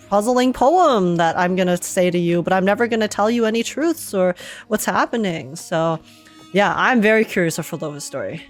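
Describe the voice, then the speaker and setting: A young woman giving casual game reaction commentary, slangy and rambling, often acting out what others might say. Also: medium pitch. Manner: rambling, animated quoting, slangy. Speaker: game streamer reacting to a story. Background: faint game music.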